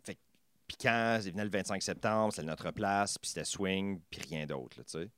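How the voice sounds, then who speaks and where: A man's voice: low voice, normal speed, fairly bright tone, clear, resonant tone, dry recording, studio quality. A man in his thirties with a Franco-Ontarian French accent, a podcast guest chatting casually, loose and hesitant with many fillers and restarts. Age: 30s. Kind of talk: casual conversation.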